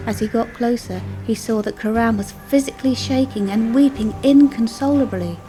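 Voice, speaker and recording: A woman's voice: on the high side, normal speed, warm tone, soft background music. A woman storyteller reading a scripted children's story as narration.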